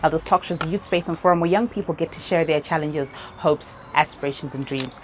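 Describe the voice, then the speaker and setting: A woman from Zimbabwe hosting a youth talk show, interviewing in a lively, encouraging way and laughing easily. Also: deep voice, fairly quick. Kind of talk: casual interview. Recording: faint background noise.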